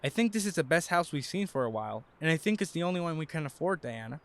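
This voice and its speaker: A hopeful young husband, acted by a man in an audio drama. His voice sits a little high for a man, and he talks in an earnest, reassuring way. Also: expressive, fast, creaky.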